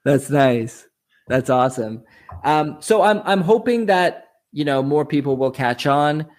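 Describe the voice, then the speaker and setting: A man's voice: a little high, lively intonation, measured pace, darkish tone. A man with a Canadian accent, talking casually and at length as an interview guest, explaining his points conversationally. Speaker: interview guest, online commodities show host.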